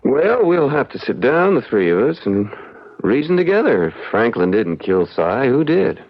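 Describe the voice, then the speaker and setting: A man playing a young frontier lawyer in an old radio mystery, folksy, shrewd and deliberate as he probes. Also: mid-range voice, sing-song. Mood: reasoning. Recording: dull, band-limited old radio recording.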